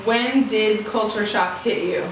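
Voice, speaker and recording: A woman interviewing casually, asking brief questions and agreeing quickly. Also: mid-range voice, a little hiss or hum. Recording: echoey room, distant from mic.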